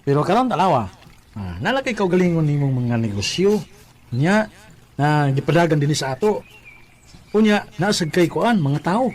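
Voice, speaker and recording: A man's voice: on the high side, sing-song, moderate pace, slight background noise. A man with a Cebuano accent playing a jovial, teasing best friend who talks in chummy exclamations in a radio drama. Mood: admiring, teasing. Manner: chummy, exclamatory, repetitive tags. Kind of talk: Bisaya radio drama acting.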